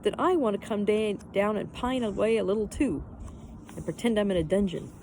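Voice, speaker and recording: A woman's voice: mid-range voice, a little fast, darkish tone, slight background noise, natural voice. A woman voicing an eager, adventurous young boy who calls out keenly and earnestly in a storybook reading. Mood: eager, coaxing. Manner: keen, earnest calling out.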